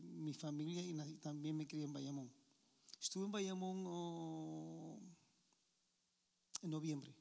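Man, Puerto Rican accent, joking and chatty, forceful bright tone, echoey hall. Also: fairly high pitch, vocal fry. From Puerto Rico. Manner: joking, chatty, asks the crowd questions. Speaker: preacher. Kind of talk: informal talk to a men's meeting.

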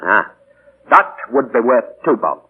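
Witty English novelist, tinny old radio. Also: male voice, medium pitch, big pitch swings, unhurried, breathy edge, full character voice. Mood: playful, teasing. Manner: theatrical, playful. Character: witty, teasing English novelist nearing middle age. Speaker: radio actor. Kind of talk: 1940s radio acting. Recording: tinny, band-limited 1940s radio broadcast.

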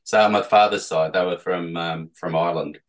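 A middle-aged man from Perth with an Australian accent, answering casually in halting, trailing phrases as an interview guest. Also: deep voice, fairly even intonation. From Perth, Western Australia. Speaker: interviewee, tour guide.

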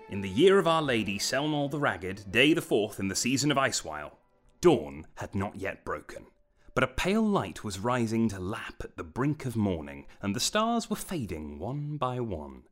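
Low-pitched man narrating fantasy, expressive range. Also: moderate pace, faint music behind. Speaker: audiobook narrator. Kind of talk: scripted fantasy story narration.

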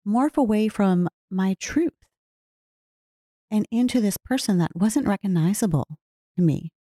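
A woman speaking in an earnest, heartfelt way, reflecting slowly on her own life. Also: medium pitch, dark tone, no echo, studio quality. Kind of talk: reflective personal talk.

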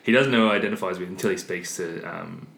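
A man's voice: rapid-fire, vocal fry, fairly bright tone. A man on a film discussion panel, talking through his thoughts in a casual, reflective way. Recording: echoey room, noticeable hiss and rumble.

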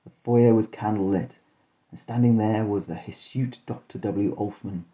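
A man with a very dark, mellow and fairly low voice narrates a spoof detective horror story. He reads as a storyteller, deadpan yet mock-dramatic. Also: normal speed. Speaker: podcast host and storyteller. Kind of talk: scripted comic horror narration in mock-detective first person.

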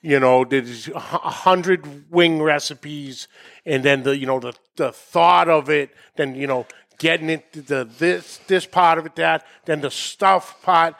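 A man's voice: on the high side, measured pace, vocal fry, fairly bright tone. A man with a Boston accent hosting a show in a casual, enthusiastic conversational style. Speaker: show host.

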